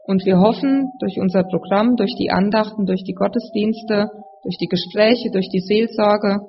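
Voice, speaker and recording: Preacher with a slight cold, gravelly and measured, explaining scripture; muffled sound with long hall reverb. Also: medium pitch. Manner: measured, explanatory, speaking to a congregation. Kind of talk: sermon with scripture reading. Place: large reverberant room, live address.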